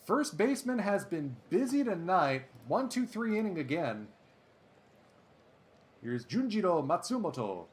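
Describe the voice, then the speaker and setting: A man doing play-by-play commentary over a baseball sim game, excitable and chatty, drifting into asides. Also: high voice, unhurried. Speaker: let's play host.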